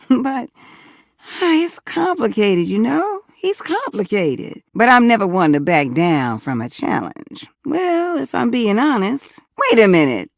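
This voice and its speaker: A woman's voice: mid-range voice, gravelly, no echo, clean studio sound, exaggerated voice. A woman voicing a sassy, flirtatious household object in a dating game, with an American accent and a folksy, teasing manner full of pet names. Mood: flirty, teasing. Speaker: voice actor in a dating simulator game. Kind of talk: flirty character dialogue, game acting.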